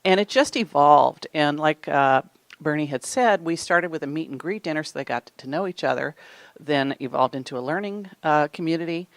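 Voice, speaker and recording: A woman's voice: low voice, lively intonation, moderate pace, no room sound. A woman, an early childhood program coordinator, explaining her team's work to a conference audience in a plain manner with fillers. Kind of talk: answering an audience question. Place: conference room.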